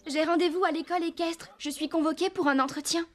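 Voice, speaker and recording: High-voiced teenage cartoon rider. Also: female voice, steady tone, fast talker. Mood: excited, pleased. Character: kind, modest teenage girl rider at a ranch. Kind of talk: animated series voice acting, French dub.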